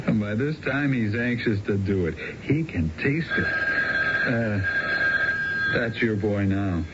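An actor playing a cocky, smooth-talking small-time crook with breezy streetwise slang in a radio drama. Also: male voice, lowish voice, animated, slow pace, long pauses. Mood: cocky, scheming. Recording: muffled, very noisy radio broadcast recording.

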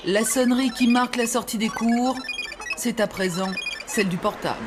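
A woman reporter narrating an archive TV news report in a measured, formal voice. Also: on the high side, music in the background. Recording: archive TV report, faint background noise.

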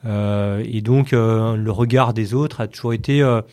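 A man's voice: fairly low pitch, steady tone, darkish tone. A man in his late thirties with a Parisian French accent, answering interview questions in a hesitant, casual way with frequent repeated words. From Paris region, France. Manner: hesitant, with many word repetitions and filled pauses. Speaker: podcast guest, company chief executive.

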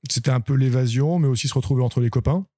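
A man hosting a podcast interview, asking calm, friendly questions. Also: medium pitch, fast talker. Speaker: podcast host.

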